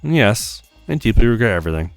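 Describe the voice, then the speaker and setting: A man's voice: lowish voice, very animated, a little fast, vocal fry, clean tone, no echo, faint music behind. A man voicing a shy, kindly young woman who is tentative and polite, in a visual novel. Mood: regretful. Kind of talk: acted visual novel read-aloud.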